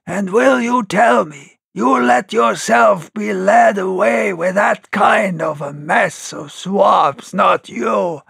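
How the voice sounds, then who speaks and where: A man voicing an honest, brave but shaken sailor with a hoarse, trembling voice and rough seaman's speech, in a storybook reading. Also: high voice, a bit bright, no echo, voice pushed up, heavily acted. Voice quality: hoarse, shaking voice. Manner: earnest, rough sailor's grammar.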